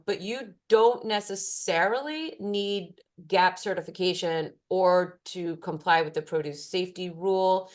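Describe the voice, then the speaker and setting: A woman, a food safety specialist, answering participants' questions in an online class in a casual way with many fillers. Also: fairly low pitch.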